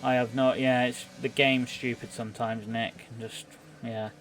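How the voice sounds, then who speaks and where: A man giving live play-by-play commentary on an online racing game, a little halting as he thinks through the action. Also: medium pitch, flat delivery, a bit bright, a little hiss or hum, poor audio.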